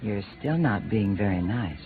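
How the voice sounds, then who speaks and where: A young American femme fatale widow from an old radio noir, coy and needling. Her pitch swings widely, and the broadcast is tinny with music behind. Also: lively intonation, moderate pace. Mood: coy, pouting. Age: young adult. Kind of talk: 1950s radio noir acting.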